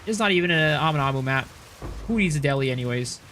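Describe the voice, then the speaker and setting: Lively man chatting on stream. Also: fairly high pitch, quick pace, a little hiss or hum. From United States. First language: Cantonese. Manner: rambling, reads chat aloud, swears casually. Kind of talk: casual live-stream chat while gaming.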